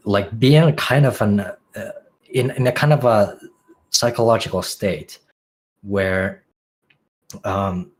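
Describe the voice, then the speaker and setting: Deep-voiced man with a Finnish accent. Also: a little hiss or hum. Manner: musing, searching for words with many fillers and restarts. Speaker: podcast guest, music producer. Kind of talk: reflective podcast interview answers.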